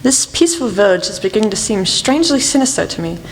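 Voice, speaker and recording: A woman acting in an amateur radio play as a shrewd lady detective, speaking in a measured, frank and formal way. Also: mid-range voice, lively intonation, lightly acted. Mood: composed, serious. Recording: echoey hall with faint noise.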